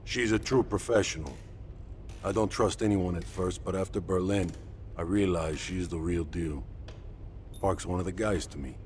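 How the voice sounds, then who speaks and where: An actor playing an easygoing, joking, loyal field operative in a video game, talking in casual, wry banter. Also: male voice, deep voice, normal speed, darkish tone, slight background noise, lightly acted. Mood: matter-of-fact. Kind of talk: cinematic game dialogue acting.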